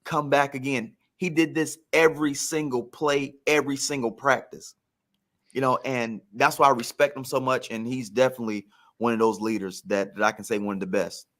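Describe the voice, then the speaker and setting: A man's voice: mid-range voice, fairly even intonation, normal speed, a bit bright. A man in casual sports talk, telling stories like a former player and repeating phrases for emphasis. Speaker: former pro football player, guest storyteller.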